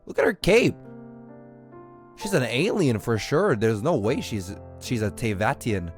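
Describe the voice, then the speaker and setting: A young man gives slangy, off-the-cuff game stream commentary with a highly animated pitch. He is expressive and exclamatory at a medium pitch, with faint music underneath. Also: a little slow. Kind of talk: casual live stream commentary.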